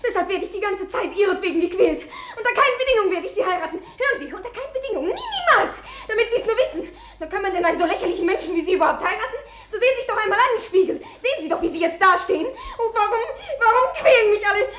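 High-strung young lady, very high-pitched. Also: sing-song, rapid-fire, few pauses, a bit bright, roomy sound, exaggerated voice. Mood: distraught, indignant. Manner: impassioned, theatrical. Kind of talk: German radio drama acting.

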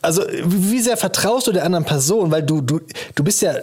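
A man in his thirties co-hosting a podcast in casual banter, animated and joking, often throwing out questions. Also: high-pitched, a little fast, slightly rough. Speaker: podcast co-host.

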